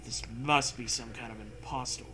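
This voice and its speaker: A man's voice: medium pitch, fairly even intonation, moderate pace, bright, forceful tone, breathy edge. A man reading a story aloud and breaking in with sarcastic, sweary casual commentary. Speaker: host reading and mocking fan fiction.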